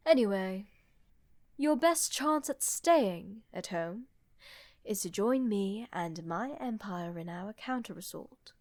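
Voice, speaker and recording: A woman playing a sly, teasing, confident empress in a fantasy audio roleplay, with a smooth-talking manner. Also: mid-range voice, expressive, takes it slowly, darkish tone, no echo, clean studio sound. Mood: confident, persuasive.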